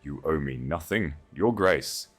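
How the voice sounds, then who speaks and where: A man's voice: a bass voice, expressive, a little slow, husky, full character voice. A man reading as a regal, resolute princess. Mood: cool, resigned. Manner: firm, regal, cold. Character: proud, quietly resolute young exiled princess. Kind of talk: audiobook character voice.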